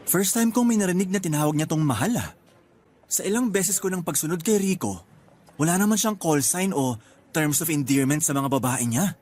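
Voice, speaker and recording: A Filipino man acting a suspicious young eavesdropper in a radio drama, husky and muttering incredulously to himself. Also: a little high, animated, moderate pace, darkish tone.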